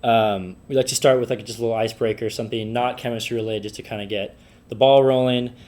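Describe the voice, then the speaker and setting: A man's voice: medium pitch, fairly even intonation, fast talker, darkish tone. A young man from the San Francisco Bay Area hosting a podcast, chatty and eager, with lots of fillers. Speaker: podcast host. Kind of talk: casual interview chat.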